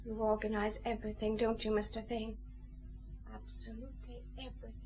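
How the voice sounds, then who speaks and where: An earnest, vulnerable woman in a radio play voiced by an actress. Her voice sits fairly high and sounds like an ordinary office worker's. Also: little pitch movement, fairly quick, natural voice. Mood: shocked, grieving. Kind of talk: radio drama acting.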